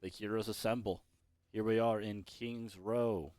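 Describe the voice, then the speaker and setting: A young man casting an esports match alone, chatty and rambling, with his voice going hoarse. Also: fairly low pitch, steady tone, unhurried, darkish tone, no echo. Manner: rambling, self-correcting, chatty. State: losing his voice. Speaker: esports caster. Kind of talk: solo play-by-play commentary.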